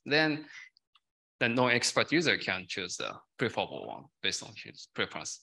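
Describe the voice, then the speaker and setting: A man's voice: mid-range voice, gravelly, bright, forceful tone, poor audio. A man in his thirties answering technical questions as a conference speaker, hesitant, with non-native English phrasing. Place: large lecture theatre, at a podium. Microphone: podium gooseneck microphone.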